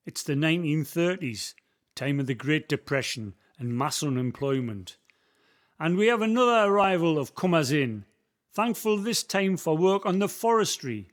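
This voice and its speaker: An actor with a Northumbrian accent playing an old local man who guides a visitor through the valley's history in a community radio play, telling stories in broad dialect. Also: on the high side. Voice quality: folksy storyteller, broad dialect words. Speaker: guide character Billy.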